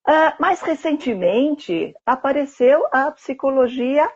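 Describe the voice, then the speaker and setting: A woman's voice: high voice, lively intonation, slow pace, lo-fi sound. A woman, a psychotherapist guest explaining ideas in a conversational way, often checking in with little tag questions.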